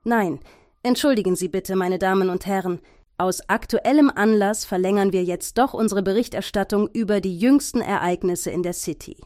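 A woman's voice: medium pitch, warm tone, no room sound, close to an everyday voice. Satirical radio news: actress as formal, earnest female anchor, composed delivery, close dry sound. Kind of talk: scripted news presenting in satirical radio play.

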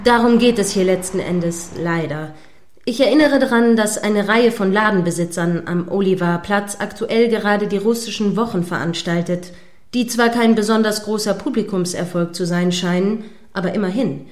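A woman plays a female radio news anchor in a radio drama. She presents breaking news formally at a middle pitch, with measured delivery and insistent, probing questions. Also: darkish tone, lightly acted. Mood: earnest, probing. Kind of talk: satirical radio play as live news broadcast.

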